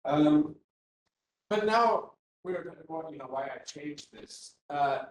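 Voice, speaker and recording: A man's voice: fairly high pitch, lively intonation, a little slow, a little hiss or hum. Hesitant man giving a conference talk. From Finland. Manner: hesitant, many fillers and restarts. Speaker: academic speaker. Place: university seminar room. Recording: roomy hall with faint ambience.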